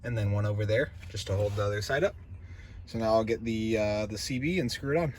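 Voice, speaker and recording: A man's voice: lowish voice, brisk. A man giving a casual hands-on tutorial, talking informally and thinking aloud as he works. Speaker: DIY truck vlogger. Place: in or around a pickup truck. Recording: clearly noisy, vehicle ambience.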